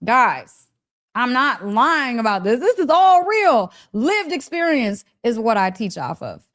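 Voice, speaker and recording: Gushing high-voiced woman, chatty and emphatic. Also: big pitch swings, takes it slowly, a bit bright. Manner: chatty, direct address with frequent check-in questions. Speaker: host of a live story session, spiritual teacher. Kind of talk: casual motivational live-stream storytelling.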